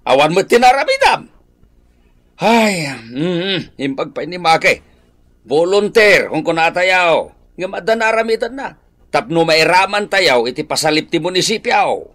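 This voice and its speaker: A man with a Filipino accent playing a bossy, exasperated village captain in a radio drama, scolding with emphatic, drawn-out words. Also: sits high, big pitch swings, a bit bright, exaggerated voice. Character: exasperated village captain bossing his staff around.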